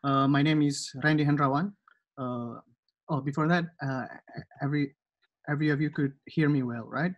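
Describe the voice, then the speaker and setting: A man's voice: fairly high pitch, steady tone, moderate pace, no echo, muffled recording. A man in his thirties with an Indonesian accent, hesitantly and informally introducing an online lecture as host, often repeating words. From Surabaya, Indonesia. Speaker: host and moderator. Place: indoors at home, on a video call.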